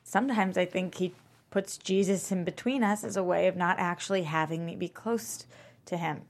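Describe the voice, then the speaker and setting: An actress playing an anguished, guilt-ridden wife in a dramatic script read, speaking in a halting, naturalistic way. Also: female voice, lowish voice, fairly even intonation, lightly acted. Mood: weary, pained. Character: anguished, guilt-ridden wife caring for her sick friend.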